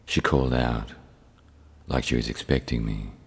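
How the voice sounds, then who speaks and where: An actor playing a brooding man haunted by an old house, narrating a radio play poetically and in measured phrases. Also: a bass voice, steady tone.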